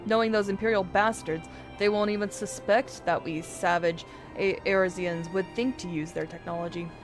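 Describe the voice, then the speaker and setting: A woman's voice: lowish voice, fairly even intonation, moderate pace. A woman game streamer reading video game dialogue aloud in character, with casual asides. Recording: music behind the voice.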